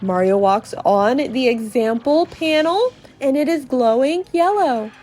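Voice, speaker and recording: A woman podcast host narrating a video game as a dramatic reading, in an expressive, theatrical way. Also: high voice, unhurried, soft background music.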